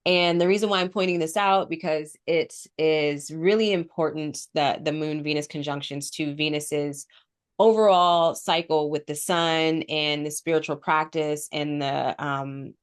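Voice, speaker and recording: Low-voiced congested woman podcast host. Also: steady tone, measured pace. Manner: talkative, explains at length with ums. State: congested with a cold, sore throat. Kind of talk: casual spiritual podcast chat.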